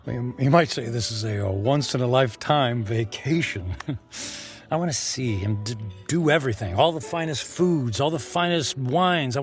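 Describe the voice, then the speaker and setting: Male actor as giddy reckless big spender, lively swooping higher voice, stammering, radio drama, music behind. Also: close to an everyday voice.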